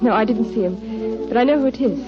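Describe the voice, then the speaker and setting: A woman's voice: fairly high pitch, a little fast, natural voice. An actress playing a guarded, well-bred young lady seeking help in a 1940s radio drama, formal in manner. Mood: polite, earnest. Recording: dull 1940s radio broadcast with music.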